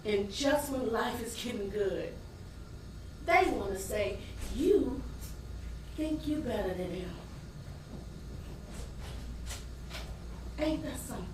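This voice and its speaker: A weary, embittered wife and mother as a woman acts her out on stage pours out a dramatic monologue. Her pitch swings widely and she takes her time, with heavy pauses. The sound is distant and heavily echoing, as in a theater. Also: expressive, a little mellow. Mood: bitter, knowing. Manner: emotive, dramatic, with long pauses and sudden swells. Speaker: stage actor. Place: indoor stage performance space. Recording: roomy echoing theater from afar, faint noise.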